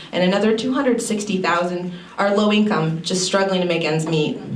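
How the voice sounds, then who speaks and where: A woman co-coordinator giving an earnest, rallying opening speech at a public event. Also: medium pitch. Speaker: anti-poverty group co-coordinator. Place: university library event hall. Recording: echoey hall, distant mic, faint noise.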